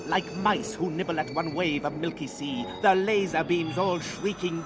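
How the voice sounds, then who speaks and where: An actor playing an awed, haunted young spacefarer, narrating poetically and solemnly in a 1960s radio drama. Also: male voice, a little high, lightly acted. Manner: theatrical, solemn, incantatory. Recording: noisy 1960s radio recording.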